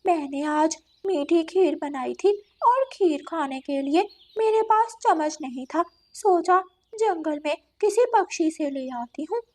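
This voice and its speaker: Woman voicing a sly, high-pitched female cat villain. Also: lively intonation, unhurried, few pauses, a little mellow. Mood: wheedling. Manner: wheedling, punctuated with meows. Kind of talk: children's animated story dialogue.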